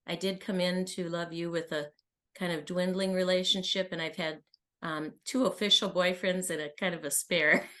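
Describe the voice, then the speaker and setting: Low-pitched chatty woman in her sixties. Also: fairly bright tone. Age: early 60s. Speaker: guest, coaching client. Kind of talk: conversational personal account and question.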